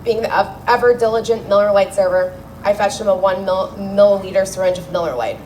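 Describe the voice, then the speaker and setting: A woman's voice: mid-range voice, brisk, a little mellow, poor audio. Young woman, eulogy at a celebration of life, sincere with wry asides, handheld mic, faint background noise. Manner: heartfelt, wry storytelling. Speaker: daughter giving a eulogy speech. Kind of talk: memorial speech read aloud. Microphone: handheld microphone.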